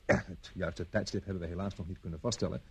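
A man's voice: deep voice, steady tone, fast, gravelly, breathy edge, heavily acted. A man acting in a radio play as a dutiful traffic policeman giving an official, precise, slightly hesitant report.

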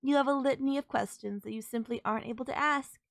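A woman's voice: high-pitched, quick pace, creaky, no echo, clean studio sound. A woman playing a sultry, possessive kidnapper who gloats over and coaxes a captive in an intimate audio roleplay. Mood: gloating, possessive. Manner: teasing, coaxing, controlling.

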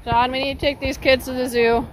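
A young woman with a fairly high voice talks to little kids in a playful, chatty, encouraging way. She is a mother filming on the move with a handheld phone, and loud ambient noise surrounds her voice. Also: level tone, brisk. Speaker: mother filming a family vlog. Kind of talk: casual chat with young children. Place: on the move outdoors and in a busy mall. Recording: noisy handheld recording, outdoors and in public. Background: loud outdoor and public ambience.

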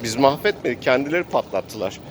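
A man's voice: mid-range voice, animated, quick pace, over a noisy crowd. A man casually improvising the narration of a role-playing game in a playful way, as both game master and player. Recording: clear outdoor traffic and ambient noise.

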